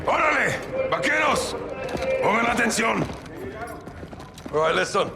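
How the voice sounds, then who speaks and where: An actor playing a determined Mexican special forces leader in a military game, emphatic, with a Mexican accent. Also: male voice, very high-pitched, sing-song, a little slow, gravelly, over loud music, exaggerated voice. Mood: rallying, determined. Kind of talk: dramatic game acting.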